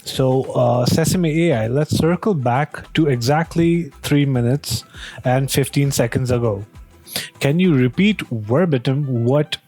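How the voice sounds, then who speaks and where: Man asking questions haltingly over music. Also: medium pitch, mellow. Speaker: host testing an AI voice. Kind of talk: casual interview questioning.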